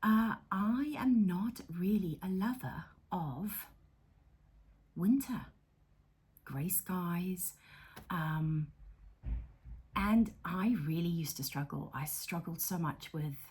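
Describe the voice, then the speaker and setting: Middle-aged South African woman host, slow and low-pitched, breathy, chatty and self-deprecating. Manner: chatty, self-deprecating, frequent fillers and soft whispery asides. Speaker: psychotherapist and channel host. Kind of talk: casual talking-to-camera vlog. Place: her counselling room.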